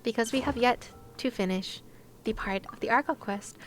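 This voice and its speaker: Playful high-voiced woman streaming a game. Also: normal speed, slight background noise. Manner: giggly, playful, chatty. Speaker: game livestreamer. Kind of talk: casual livestream chat while gaming, talking to viewers.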